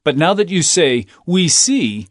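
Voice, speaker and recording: A man's voice: on the high side, very animated, unhurried, no room sound, clean studio sound. A man acting a solemn, authoritative holy teacher in a dramatized audio Bible, speaking with deliberation.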